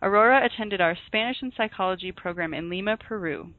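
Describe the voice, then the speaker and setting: A woman host leads the interview, asking questions and offering brief, friendly replies. Her voice sits at a middle pitch and sounds dry and close. It comes through thin and tinny, like a phone line. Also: quick pace, no room sound. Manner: friendly, brief responses, laughs along. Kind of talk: interview hosting.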